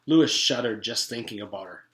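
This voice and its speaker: A man's voice: lowish voice, a little fast, a bit bright, slight background noise. A man, a teacher, reading a children's story aloud as scripted narration. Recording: echoey room.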